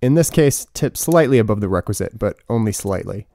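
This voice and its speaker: A man's voice: lowish voice, lively intonation, fairly quick, creaky, mellow, no room sound. A man in his twenties reading his own humorous book as scripted narration, with wry, dry comic asides. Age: 20s. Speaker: author reading own audiobook.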